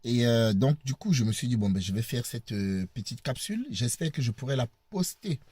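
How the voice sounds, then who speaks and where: A man with a cold talking casually to his viewers from a parked car, halting and repeating words, often laughing. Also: medium pitch, lively intonation, darkish tone, no room sound. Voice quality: husky. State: hoarse with a cold. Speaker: video blogger.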